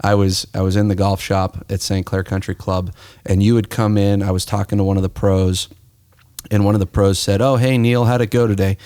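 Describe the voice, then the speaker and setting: An American man hosts a conversational podcast interview. His voice sits fairly low, and he talks reflectively, like someone telling a story. The sound is dry and close, with no room echo. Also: level tone, a little fast, dark tone, clean tone. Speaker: podcast host.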